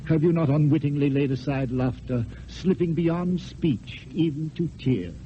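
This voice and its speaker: A man's voice: fairly high pitch, slight background noise, lightly acted. Man playing an ardent poet, dull old recording. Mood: seductive, poetic. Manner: lyrical, ardent declamation with dramatic pauses. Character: passionate eloquent male poet secretly wooing from the shadows. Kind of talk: mid-century theatrical classical stage acting.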